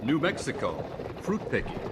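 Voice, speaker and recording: A man narrating an old-time radio drama as announcer and formal interviewer, measured and dramatic. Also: medium pitch, big pitch swings, very noisy, lightly acted. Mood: measured, inquiring.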